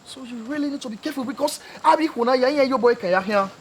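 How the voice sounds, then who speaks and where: A man's voice: extremely high pitch, fast talker. A man with a Nigerian accent playing a cautioning, brotherly friend in a screen drama. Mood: concerned. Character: cautioning male friend giving brotherly advice. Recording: location sound with faint noise.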